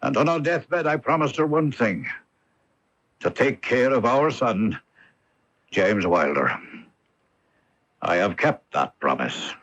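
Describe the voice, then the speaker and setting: Stately, troubled Duke with husky voice. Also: male voice, mid-range voice, animated, fairly bright tone, exaggerated voice. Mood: grave confession. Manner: stately, formal, deliberate. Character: proud, troubled aristocratic Duke, a father. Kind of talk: 1980s radio mystery acting. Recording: dull lo-fi AM radio recording.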